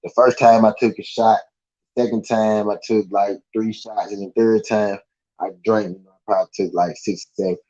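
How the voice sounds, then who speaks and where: A man's voice: lowish voice, flat delivery, normal speed, darkish tone, dull audio. A man with a Southern US accent hosting a live stream, chatting casually in a laid-back, slangy, rambling way with lots of fillers. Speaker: podcast host.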